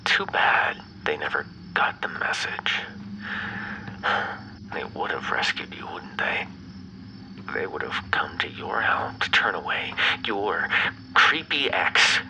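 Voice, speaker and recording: A man playing an obsessive, bitter, menacing ex-lover in an ASMR roleplay, speaking in a breathy, brooding half-whisper. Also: deep voice, exaggerated voice, low-quality recording. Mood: mocking menace. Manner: slow, brooding, theatrically menacing. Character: obsessive, bitter yandere male vampire ex-lover, menacing captor.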